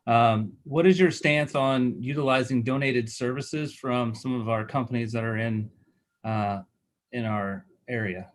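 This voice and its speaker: A man on a school board asking a contractor measured, formal questions. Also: mid-range voice, steady tone.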